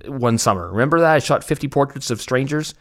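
A man's voice: mid-range voice, lively intonation, fast talker. A man with a Canadian accent hosting a podcast, chatting in a casual, rambling way with a joking, self-mocking manner. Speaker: podcast host. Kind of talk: casual, rambling personal chat.

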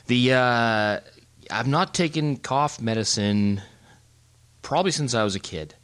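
A man's voice: mid-range voice, unhurried, fairly bright tone, clean tone. A middle-aged man co-hosting a podcast from home, chatting casually, joking and rambling with restarts. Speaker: podcast co-host. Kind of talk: casual chat. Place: home, Germany.

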